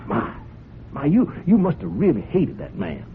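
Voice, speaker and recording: A man's voice: fairly high pitch, wildly swooping pitch, moderate pace. A sly country blackmailer in an old radio play, on a muffled, noisy recording. His folksy voice swings up and down widely, all false friendliness and hinted threat. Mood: sly, accusing. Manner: insinuating, falsely amiable, chuckling, hesitant phrasing. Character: sly, folksy male horse-farm hand turned blackmailer. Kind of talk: radio drama acting.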